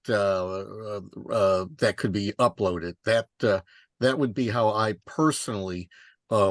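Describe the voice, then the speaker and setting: A man speaking casually on a teleconference in a halting, tentative way. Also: fairly low pitch, no room sound, studio quality. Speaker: meeting participant. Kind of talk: casual conference call chat.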